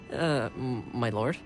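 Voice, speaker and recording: An actress playing a loyal retainer in a video game, speaking to a lord with deferential, expressive admiration. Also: female voice, extremely low pitch, full character voice. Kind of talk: scripted video game voice acting.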